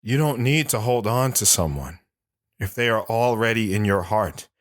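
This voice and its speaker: Man acting a low-voiced, haunted war veteran. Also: animated, lightly acted. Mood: guarded. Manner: somber, measured, short plain sentences. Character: lone wandering war veteran, guarded, haunted, stoic man. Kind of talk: dramatic first-person audio drama narration. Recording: music behind the voice.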